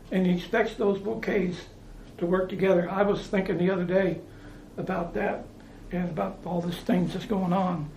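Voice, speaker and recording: High-voiced, gravelly man preaching casually. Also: darkish tone, roomy sound, a little hiss or hum, poor audio. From United States. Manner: conversational, repeats phrases, restarts, chuckles. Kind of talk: informal preaching.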